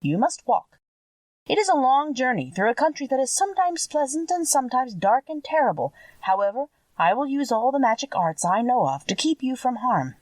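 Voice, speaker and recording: A kindly little old witch from a storybook, acted out by a woman, speaks with solemn helpfulness. Her pitch lifts and swoops from phrase to phrase, heard a little way from the microphone in a dry space. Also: sing-song, moderate pace, darkish tone, no echo, distant mic. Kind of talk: audiobook character voice.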